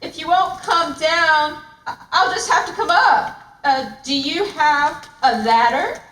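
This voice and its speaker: A woman's voice: sits high, animated, takes it slowly, fairly bright tone, heavily acted. A woman voicing a hungry, scheming, bumbling male lion in a children's puppet show, stammering and wheedling, recorded in an echoey room. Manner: stammering slips, growly exasperation. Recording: roomy, distant mic, noisy.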